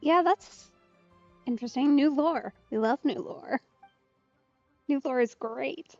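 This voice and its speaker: A woman with a high voice chats informally as she streams a game. The sound is close, dry and a little dull, with game music underneath. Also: slow, darkish tone, no echo. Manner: chatty, informal. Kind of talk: casual game let's-play commentary.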